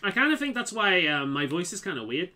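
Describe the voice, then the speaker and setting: Casual game streamer, man, mild Liverpool accent, lively stammering delivery. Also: high-pitched, brisk. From England. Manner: repeats words, stammers, restarts sentences. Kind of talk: casual chat while playing.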